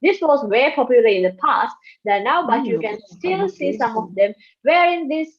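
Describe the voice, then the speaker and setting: A woman presenting to a class in a casual way, with a few uh pauses. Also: sits high, expressive. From Sri Lanka. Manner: informal, with fillers like uh. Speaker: student presenter. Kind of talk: prepared presentation. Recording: muffled lo-fi audio.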